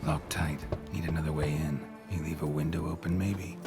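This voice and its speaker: A man playing a gruff, terse monster hunter in a fantasy video game, muttering in clipped phrases with a gravelly, hushed voice. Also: very deep voice, fairly even intonation, moderate pace, over loud music. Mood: muted, pondering.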